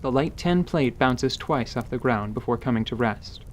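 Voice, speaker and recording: A man's voice: mid-range voice, expressive, normal speed, slight background noise. Male narrator, mid-range voice. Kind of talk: scripted story narration.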